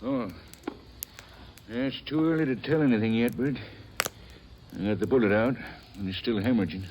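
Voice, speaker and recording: An actor playing a blunt, weary frontier town doctor in a 1950s radio western, folksy and matter-of-fact. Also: male voice, mid-range voice, normal speed, close to an everyday voice. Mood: grave, tired. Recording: faintly noisy old radio recording.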